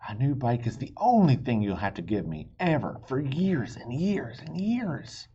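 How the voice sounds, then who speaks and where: A man's voice: medium pitch, big pitch swings, measured pace, mellow, husky, lo-fi sound, voice pushed up, full character voice. A man voicing an eager, literal-minded young girl in a storybook, earnest and full of questions. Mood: eager, pleading.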